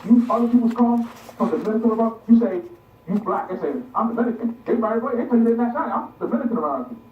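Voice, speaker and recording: A man's voice: extremely high pitch, animated, very fast, highs cut off. A man giving an impassioned religious lecture, insistent and repeating phrases for emphasis, in a roomy, distant recording with some noise.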